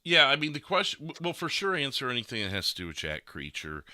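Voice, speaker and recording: A man's voice: mid-range voice, huge pitch swings, quick pace, bright, forceful tone, dry recording, clean studio sound. A man hosting a casual podcast in a rambling, self-deprecating and joking manner. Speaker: podcast host and game streamer.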